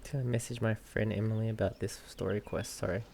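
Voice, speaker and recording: A man's voice: on the low side, fairly even intonation, a little fast, creaky, mellow, a little hiss or hum. A man streaming a game, casually reacting and reading aloud as he plays.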